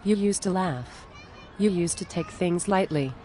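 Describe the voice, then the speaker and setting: A woman performs a plain-spoken cartoon woman at the end of her patience. She pleads in measured, reproachful phrases with a slightly low voice. A faint layer of noise sits behind her. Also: mellow, close to an everyday voice. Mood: disappointed, resigned. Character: exasperated, plain-spoken woman pleading with an arrogant spiritual friend. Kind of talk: scripted animated dialogue.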